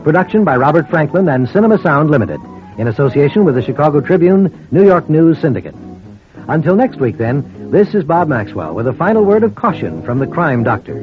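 A man's voice: on the high side, sing-song, a little fast, soft background music. A man announcing a vintage radio drama in a dramatic, formal mid-century style. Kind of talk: 1940s-50s radio announcing. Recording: dull, muffled old radio recording.

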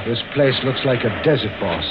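A man playing a loyal, excitable working-class detective's sidekick in a mid-century radio drama, with a folksy, deferential manner. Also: mid-range voice, moderate pace, natural voice. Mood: plain, curious. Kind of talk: 1940s-50s radio drama acting. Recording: dull, noisy old radio recording.

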